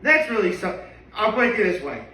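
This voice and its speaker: A man's voice: high voice, very animated, moderate pace, pushed and bright, echoey room, recorded from a distance, low-quality recording. A young man with a tenor voice talks casually to his camera in a rambling daily vlog full of asides. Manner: chatty and rambling, with many asides. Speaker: daily vlogger. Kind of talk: casual reaction vlog monologue.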